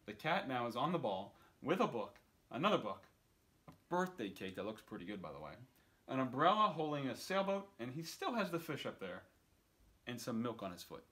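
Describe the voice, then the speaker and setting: A man's voice: mid-range voice, sing-song, fairly quick, a bit bright. A man in his thirties reading a picture book aloud expressively for children, with casual asides. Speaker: story time reader. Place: indoors on a couch.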